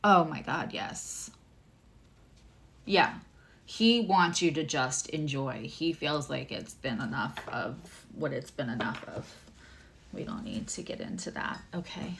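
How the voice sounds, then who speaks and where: Low-voiced woman giving a tarot reading. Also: expressive, a little slow, vocal fry, a bit bright, a little hiss or hum. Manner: emotional, chatty, answering viewers by name. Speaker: tarot reader and astrologer. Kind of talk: livestream reading and chat.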